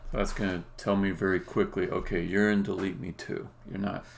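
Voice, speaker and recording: A man thinking aloud on a live-coding stream, muttering to himself in a halting way and often trailing off. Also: low-pitched, little pitch movement, vocal fry. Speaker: indie game developer streaming. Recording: faint background noise, keyboard clicks. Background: computer keyboard typing and clicking.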